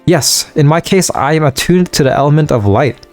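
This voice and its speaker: A man's voice: fairly high pitch, animated, moderate pace, darkish tone, faint music behind. A man reading the lines of a protective light spirit deer in a game. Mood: guarded. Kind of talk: reading game dialogue aloud.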